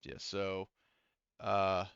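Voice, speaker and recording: Man, low smooth voice, game master narration, casual and hesitant, dry, slightly muffled headset sound. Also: completely flat delivery, very slow, a bit bright, no room sound. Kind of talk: narrating a tabletop roleplaying game. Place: indoors, online voice session.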